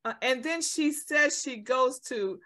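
A woman hosting a talk show and interviewing a guest, with playful, animated interjections. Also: high-pitched, takes it slowly.

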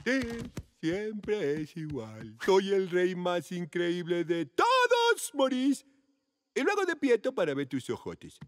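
A man voicing a vain, theatrical, excitable lemur in an animated cartoon dub, with a bombastic, dramatic delivery. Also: high voice, takes it slowly, no room sound, full character voice. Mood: whiny, disappointed.

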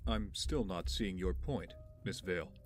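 Guy acting aloof rich playboy in an audio drama, low voice, dry and haughty, bit of music behind. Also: a little slow. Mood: guarded, dry. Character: aloof, wry young billionaire playboy with a guarded mind.

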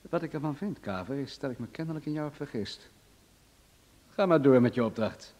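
An actor playing an irascible, domineering wealthy boss in a Dutch radio drama, gruff and imperious, with a husky voice. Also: male voice, medium pitch, expressive, a little fast, darkish tone, natural voice. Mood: evasive. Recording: reverberant old radio play recording.

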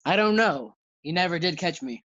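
A woman's voice: fairly low pitch, lively intonation, normal speed, a bit bright, no echo. A woman acting a breezy, girl-chasing bachelor man in a 1940s-style radio sitcom. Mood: lovelorn. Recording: slightly dull, lo-fi sound.

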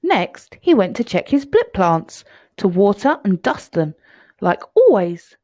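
A woman's voice: medium pitch, sing-song, a little slow, mellow, dry recording, clean studio sound. A woman reading a children's picture book aloud in a storytelling style.

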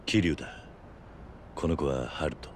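An actor playing a stoic, gruff middle-aged man, terse and restrained, in a video game cutscene. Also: rumbling low, measured pace, slight background noise. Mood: guarded.

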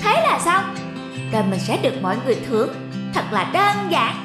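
A woman's voice: high-pitched, sing-song, a little fast, echoey room. A woman voicing an envious, overeager, scheming young pet dog in a cartoon, talking childishly. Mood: scheming, gleeful. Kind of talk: cartoon dubbing. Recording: music behind the voice.

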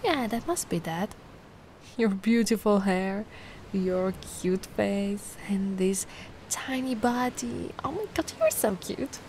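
A woman voicing a giant, sweet and curious young mermaid in an ASMR roleplay, gushing and doting in a gentle, cooing way. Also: medium pitch, lively intonation, slow. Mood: adoring. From Italy. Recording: noticeable ambient background noise.

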